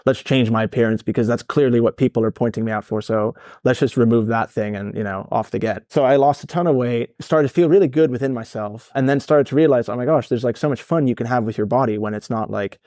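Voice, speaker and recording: Energetic Dutch-born man with an American accent. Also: medium pitch, dry recording, studio quality. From Netherlands, raised in California. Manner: energetic, rambling, swears casually. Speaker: guest, startup founder. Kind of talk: casual podcast interview.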